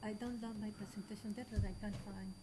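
A woman's voice: medium pitch, fairly even intonation, fast talker, background noise. A middle-aged woman, speaking hesitantly at a conference panel table. She searches for her words and often restarts her sentences. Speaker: conference presenter. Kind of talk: setting up slides, unscripted. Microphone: table microphone.

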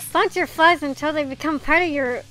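A woman's voice: sits high, normal speed, noisy background, lightly acted. A woman voicing a smug, haughty masked schoolgirl and idol fanatic in an anime visual novel.

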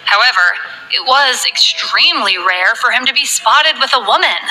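A woman narrating a romance novel in a steady, scripted storytelling read. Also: on the high side, low-quality recording.

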